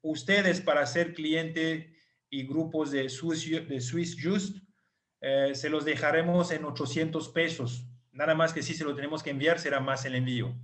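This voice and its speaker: Non-native Spanish-speaking male doctor, patient, repeats numbers slowly, video call. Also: medium pitch, a bit bright. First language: not Spanish. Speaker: clinic doctor. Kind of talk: online group talk.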